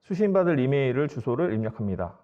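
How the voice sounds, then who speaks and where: A man's voice: mid-range voice, very animated, fast, dark tone, dull audio. A man giving a formal, polite and matter-of-fact business tech presentation as a company presenter, explaining slides step by step.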